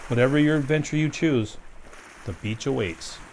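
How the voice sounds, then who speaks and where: A park ranger reading a sensory story aloud to young children, gently asking them questions as he goes. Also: medium pitch, moderate pace, a little hiss or hum.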